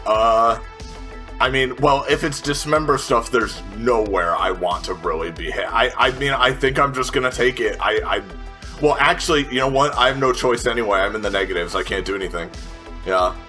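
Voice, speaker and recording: A man chatting casually as a tabletop game player, thinking aloud through his choices in a halting way. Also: mid-range voice, sharp tone. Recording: music playing behind the voice. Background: music.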